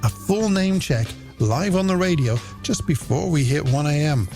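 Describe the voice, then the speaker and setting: A man's voice: a little high, lively intonation, moderate pace, vocal fry, a little mellow. An Irish man hosting a late-night radio music show, presenting with a playful, theatrical and polished delivery. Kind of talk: radio DJ presenting, game segments and shout-outs. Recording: music bed under the voice.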